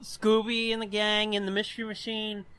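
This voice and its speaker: A man's voice: high-pitched, moderate pace, clear, resonant tone. A man hosting a podcast in casual chat, explaining things in a blunt, sweary way. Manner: profane, blunt, animated explaining. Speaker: podcast host.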